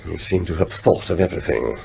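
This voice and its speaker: Blunt, indignant British Prime Minister, lively intonation. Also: male voice, low voice, fairly quick, gravelly, a little hiss or hum, heavily acted. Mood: probing, sceptical. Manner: probing, sceptical, exasperated. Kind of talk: radio drama acting.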